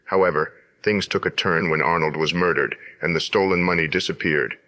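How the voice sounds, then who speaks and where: A man reading a scripted summary of a story in plain, even narration. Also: sits low, fairly quick, lo-fi sound.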